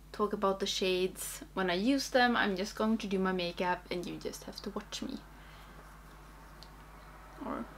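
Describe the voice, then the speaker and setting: A young woman with a Swedish accent, speaking English as a second language and chatting casually and a bit haltingly, as in a makeup vlog. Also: mid-range voice, fairly bright tone, a little hiss or hum. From Sweden. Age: young adult. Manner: hesitant, halting, thinking aloud. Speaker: makeup video creator. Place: small indoor room.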